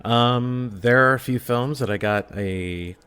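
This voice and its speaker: A man's voice: medium pitch, a little slow, a bit bright, clear, resonant tone. A man speaking casually as a guest on a podcast, in the manner of an informal chat. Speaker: podcast guest, film reviewer.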